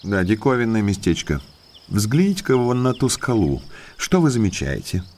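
Casting: a courteous, deliberate naturalist and moorland guide, deep register, enigmatic air, audiobook drama. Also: male voice, very animated, a little mellow, a little hiss or hum. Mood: musing, explanatory. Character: well-mannered male naturalist, eager guide to eerie moors. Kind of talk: Russian audiobook drama acting.

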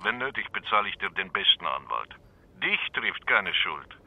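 An actor playing a rich crime boss lamenting melodramatically as a bereaved husband, in a husky voice, in a radio drama. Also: male voice, deep voice, big pitch swings, moderate pace, highs cut off, full character voice. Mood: grieving. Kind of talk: German radio drama acting.

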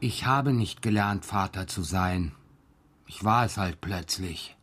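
A man's voice: sits low, expressive, slow pace, fairly bright tone, slightly rough, heavily acted. An actor playing an evasive, dreamy, restless father in a children's radio drama, hesitant and stammering as he pleads his excuses. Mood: conceding.

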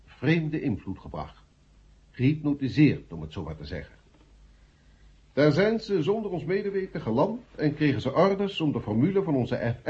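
An actor playing a pompous, sardonic committee chairman, husky and oratorical, in an old radio drama. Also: on the high side, a little mellow, exaggerated voice. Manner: oratorical, emphatic. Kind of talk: 1970 radio drama speech. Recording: dull old radio recording.

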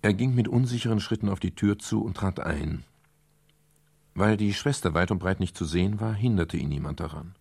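Deep, gravelly private detective. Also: male voice, moderate pace, darkish tone, exaggerated voice. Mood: composed, matter-of-fact. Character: world-weary male private detective, calm, protective. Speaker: detective narrator. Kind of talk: radio crime drama, first-person narration and dialogue.

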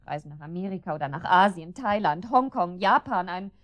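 A woman's voice: lowish voice, a little fast, dry recording, lightly acted. An actress playing a defiant but anxious captive married woman in a radio drama, proud, brittle and indignant. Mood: wistful, defensive. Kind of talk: German radio drama acting.

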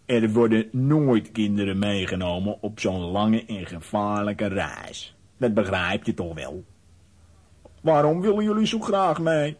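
A man playing a stern, proud sea captain in a children's radio play, with an authoritative, gruff manner. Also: lowish voice, exaggerated voice.

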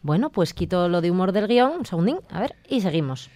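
A woman's voice: fairly low pitch, animated, fairly quick, mellow, no echo. A woman hosting and directing a radio show from the studio, playing a teasing, bossy straight woman in comic banter.

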